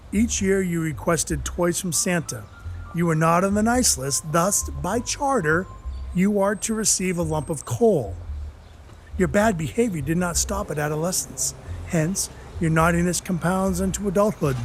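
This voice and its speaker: A man playing a sarcastic, deadpan dwarf who enforces Santa's naughty list in an audio play. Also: high-pitched. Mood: officious. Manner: deadpan, sardonic, officious. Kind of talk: audio play narration and dialogue.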